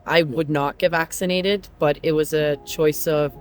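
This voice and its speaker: A man from Croatia who drives trucks, answering interview questions earnestly with frequent pauses. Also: fairly high pitch, steady tone, soft background music.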